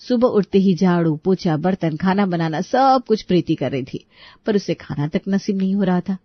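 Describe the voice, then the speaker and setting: A narrator tells a dramatic bedtime story at a middling pitch. The recording is very dry and lacks top end. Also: normal speed, no echo. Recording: dull lo-fi recording.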